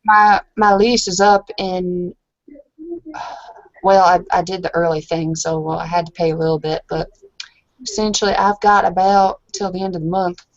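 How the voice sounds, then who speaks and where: A woman playing a shy, embarrassed woman in a tabletop roleplay, speaking haltingly, with hesitant pauses. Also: lowish voice, fairly even intonation, no echo, highs cut off, close to an everyday voice. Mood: sad, hesitant. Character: shy, embarrassed woman saying goodbye to friends.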